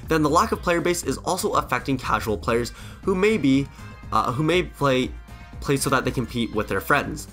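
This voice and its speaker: A man's voice: medium pitch, expressive, moderate pace, a bit bright, faint music behind. A man, a gaming YouTuber with a cold, talks earnestly through his opinions with frequent restarts. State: sick with a cold, voice affected. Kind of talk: scripted-ish commentary to camera.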